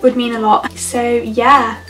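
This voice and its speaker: A woman's voice: fairly high pitch, level tone, takes it slowly, fairly bright tone, soft background music. A young woman chatting through a makeup tutorial, sounding a bit stuffy from a cold. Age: young adult. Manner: chatty, bubbly, lots of "so yeah". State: congested from a cold. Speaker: beauty vlogger. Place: bedroom with clothes rail.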